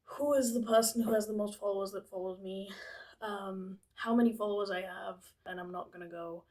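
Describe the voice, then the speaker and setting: A woman's voice: medium pitch, steady tone, a little fast, a little mellow. A 22-year-old woman from England, chatting casually to camera as a vlogger, rambling and hesitant with many fillers.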